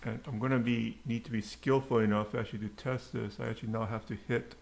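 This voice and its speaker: A man giving an unscripted coding tutorial, haltingly muttering to himself as he types. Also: mid-range voice, fairly even intonation. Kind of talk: unscripted screencast coding tutorial, thinking aloud. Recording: clear background noise, keyboard clicks. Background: typing and mouse clicks.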